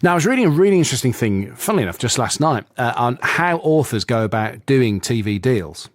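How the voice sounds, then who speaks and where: A man's voice: mid-range voice, sing-song, brisk, no echo, clean studio sound. A male radio presenter interviewing a guest, asking curious, conversational questions.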